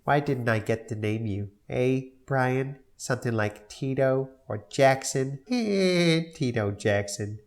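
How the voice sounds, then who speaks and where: A man's voice: medium pitch, takes it slowly, hardly any pauses. A man voicing a dim-witted, drunk cartoon dad who rambles in a dopey way while reading a script aloud. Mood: tipsy.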